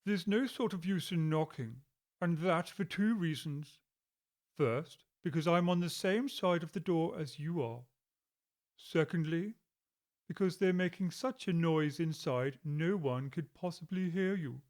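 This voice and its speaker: A dull stubborn frog footman. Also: fairly high pitch. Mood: stolid. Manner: slow, flat, unbothered, pedantic. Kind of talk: audiobook drama acting.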